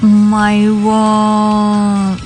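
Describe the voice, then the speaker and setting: A woman's voice: medium pitch, monotone, drawn-out words, a little mellow. An actress with a Hong Kong Cantonese accent playing a carefree, chatty young woman bantering with close friends in a radio drama. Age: young adult. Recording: music playing behind the dialogue.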